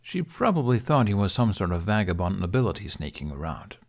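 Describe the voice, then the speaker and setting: A man's voice: lowish voice, very animated, a little fast. A man reading scripted story narration as an audiobook narrator.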